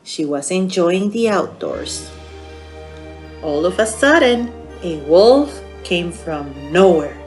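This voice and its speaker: A woman narrating a storytime for young children as a library storyteller, in a simple, expressive manner. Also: fairly low pitch, slow pace, soft background music. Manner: expressive, simple, asking the listeners questions.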